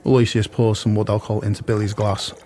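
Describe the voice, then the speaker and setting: A man's voice: fairly low pitch, little pitch movement, fast, warm tone, a little hiss or hum. A man reading scripted narration for an audio play.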